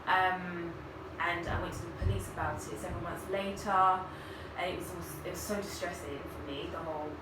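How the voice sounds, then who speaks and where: A young woman from Zambia, raised in Botswana, speaking haltingly as an interview guest, with many fillers and restarts. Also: fairly low pitch, fairly even intonation. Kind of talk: personal story in an interview. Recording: echoey room, far from mic, background noise.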